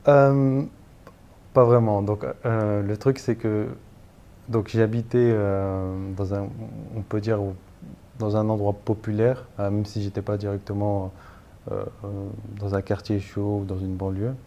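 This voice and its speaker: A man in his thirties from northern France speaks in a low, mellow voice as an interview guest. He pauses often, restarting sentences and filling gaps. A faint hiss sits under the recording. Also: flat delivery, muffled recording. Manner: hesitant, many fillers and restarts. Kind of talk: interview, personal story.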